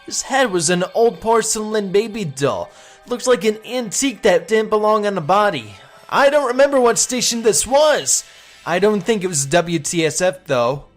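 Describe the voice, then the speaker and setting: A man performs an uneasy middle-register-to-high male narrator remembering a frightening puppet show from childhood. He reads forum posts as drama, haunted and earnest, with music playing underneath. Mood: uneasy recollection.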